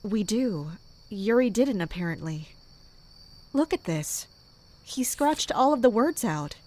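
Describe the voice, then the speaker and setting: A woman playing a composed, wry captain of mercenaries, narrating and talking in a measured, matter-of-fact way in an audio drama. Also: mid-range voice, expressive, dark tone. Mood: grim, focused. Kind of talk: first-person narration and dialogue in an audio drama.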